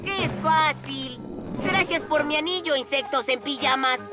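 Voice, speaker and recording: A woman's voice: sits high, a little slow, loud music behind the voice. A woman voicing a young cartoon villain who taunts and gloats in a mocking way. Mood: gloating. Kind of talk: animated children's show dub.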